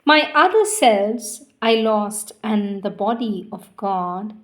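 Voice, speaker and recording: A woman giving a measured, reverent devotional reading of poetry and scripture, pausing between phrases. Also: mid-range voice, animated. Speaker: devotional reader.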